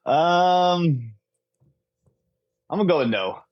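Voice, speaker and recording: A man's voice: fairly high pitch, expressive, slow, no room sound, muffled recording. A man chatting casually as a podcast guest, easygoing and a little hesitant in his answers. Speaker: podcast guest, pro cornhole player. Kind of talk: casual chat.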